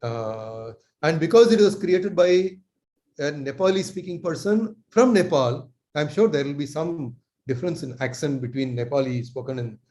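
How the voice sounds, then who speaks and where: A man from India giving an earnest online talk as lecturer, pausing often with fillers. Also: on the high side, animated, darkish tone. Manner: earnest, many filler pauses.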